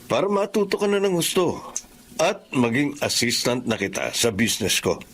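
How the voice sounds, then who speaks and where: Confident, persuasive boss with swooping intonation. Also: male voice, mid-range voice, very animated, normal speed, fairly bright tone, husky. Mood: assured, persuasive. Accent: Filipino. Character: smooth, confident male boss of shady business. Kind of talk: Filipino radio drama acting.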